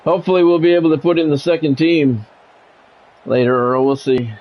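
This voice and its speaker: A man's voice: a little high, a little fast. A man streaming a sports video game, switching between play-by-play commentary and casual chat. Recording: dull lo-fi audio, music behind.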